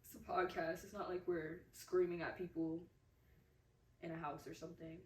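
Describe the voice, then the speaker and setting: Soft-spoken young woman, echoey room. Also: lowish voice, level tone, moderate pace. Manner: soft-spoken, filler-heavy, frank. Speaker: podcast co-host. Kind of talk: casual chat. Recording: echoey room, distant mic.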